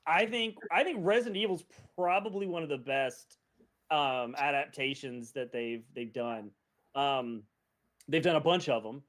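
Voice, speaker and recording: A man's voice: fairly high pitch, a bit bright. A man on a podcast panel, chatting casually and thinking aloud in a rambling way. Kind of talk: casual chat.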